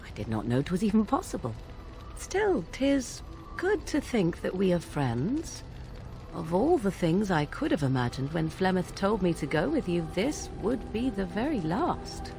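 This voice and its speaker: An actress voicing a proud, guarded young witch in a video game, with formal, archaic, measured speech. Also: lowish voice, very animated, gravelly, warm tone, exaggerated voice. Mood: earnest, guarded. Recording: music underneath. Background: music.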